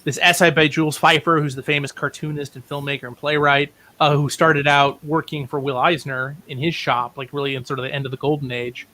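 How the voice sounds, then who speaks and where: A man's voice: on the high side, flat delivery, a little fast, hardly any pauses, bright, forceful tone. A middle-aged man, a writer being interviewed, telling stories casually in an informed, discursive, self-correcting way. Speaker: writer and playwright guest.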